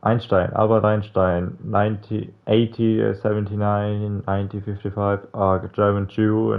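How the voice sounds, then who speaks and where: A man's voice: fairly low pitch, narrow, tinny audio. A man doing a casual, informal game playthrough, reading text aloud with stumbles and some swearing and adding his own comments. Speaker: let's player reading a visual novel aloud. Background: game music.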